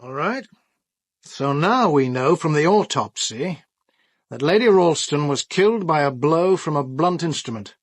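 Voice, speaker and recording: An actor playing a dry, sardonic police detective in a radio drama, with a questioning, wry manner. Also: male voice, fairly high pitch, animated, a little slow, dry recording. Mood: wry, analytical. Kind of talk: full-cast radio drama dialogue.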